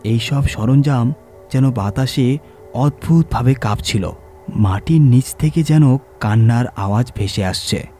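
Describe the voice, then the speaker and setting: A man narrating a scripted horror story in a measured, suspenseful, dramatic storytelling manner. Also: medium pitch, mellow. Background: music.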